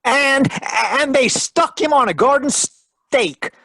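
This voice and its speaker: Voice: sits high, expressive, slow, pushed and bright, dry recording, exaggerated voice. A performer playing an eager, gung-ho boyish young male deputy in an old-time radio drama.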